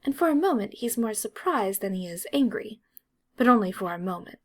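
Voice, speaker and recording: A woman reading a story as an audiobook narrator, in an expressive storytelling style. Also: mid-range voice, fairly quick.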